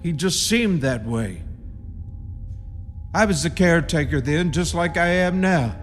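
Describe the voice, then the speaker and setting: A man's voice: a little high, lively intonation, measured pace. A man playing an ominous rural caretaker in a radio horror play, giving grim, cryptic warnings in folksy country grammar. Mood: cryptic, grave. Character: ominous rustic caretaker, grimly warning intruders. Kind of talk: radio horror drama acting. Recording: long reverb with music behind.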